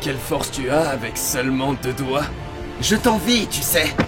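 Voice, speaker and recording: Man as bitter teenage anime rival, intense, goading, resentful, loud music underneath. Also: mid-range voice, lively intonation, a little slow, natural voice. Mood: taunting. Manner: provoking, intense. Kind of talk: anime fan dub acting.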